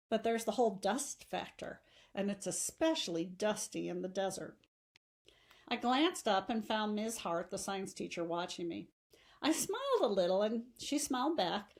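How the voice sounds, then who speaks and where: Woman reading a story aloud. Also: mid-range voice. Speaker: teacher reading a novel aloud. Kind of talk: expressive audiobook-style storytelling.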